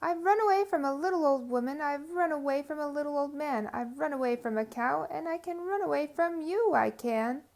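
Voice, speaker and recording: A woman's voice: high voice, a little fast, creaky, hardly any pauses, a little mellow, voice pushed up. A woman voicing a cocky, gleeful little gingerbread boy from a storybook, chanting boastful taunts in a sing-song way. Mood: taunting, gleeful.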